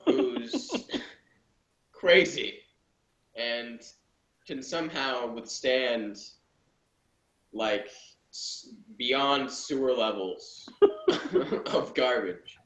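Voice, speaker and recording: A man's voice: mid-range voice, huge pitch swings, extremely slow, pauses often, fairly bright tone. A man chatting casually as co-host of a review, sarcastic and given to exaggeration. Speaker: co-host of a TV episode review show. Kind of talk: casual chat, episode review. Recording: dull, roomy lo-fi sound.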